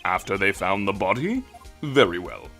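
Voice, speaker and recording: A man's voice: deep voice, big pitch swings, moderate pace, fairly bright tone, soft background music, full character voice. Man playing an elderly judge, wildly swinging intonation. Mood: stately. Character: elderly male courtroom judge, formal, easily baffled. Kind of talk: amateur game fandub acting.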